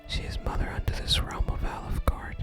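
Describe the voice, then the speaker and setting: An ASMR gamer voicing a solemn, archaic-speaking sage from a fantasy game in a hushed whisper. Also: fairly quick, close to an everyday voice. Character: solemn archaic sage guarding a sacred staff. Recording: soft music under the whisper.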